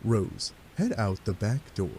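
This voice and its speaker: A man's voice: on the low side, very animated, measured pace, warm tone. A man narrating a scripted second-person story with a wry, dramatic storytelling manner, over faint hiss.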